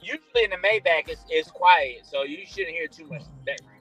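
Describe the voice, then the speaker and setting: A man joking deadpan as a guest on a casual podcast. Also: fairly high pitch, a little fast, husky, soft background music, poor audio.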